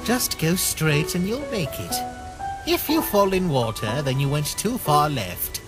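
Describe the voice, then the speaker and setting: Man voicing an eager, animated skeleton guy. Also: on the high side, unhurried, over music. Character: eager, loud, friendly male skeleton monster. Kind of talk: comic dub character acting.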